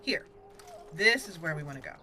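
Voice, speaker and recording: A woman's voice: deep voice, animated, brisk, pushed and bright, faint music behind. A woman hosting a gaming channel, chatting casually and animatedly as she plays and thinks aloud. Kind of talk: casual game commentary.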